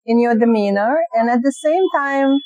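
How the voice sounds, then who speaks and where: A woman host speaks in a slightly high, mellow voice, slow and thoughtful, often pausing as she searches for her words. The sound is dry, with little room around it. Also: no room sound, rough recording. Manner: slow, thoughtful, hesitant with pauses. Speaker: podcast host. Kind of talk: podcast introduction and interview. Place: roadside cafe on a busy street.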